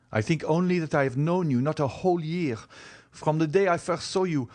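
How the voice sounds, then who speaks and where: A man reading an audiobook, voicing a passionate young man who speaks in an earnest, measured and bitter way. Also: mid-range voice, a little mellow, pitched above the performer's own voice, lightly acted.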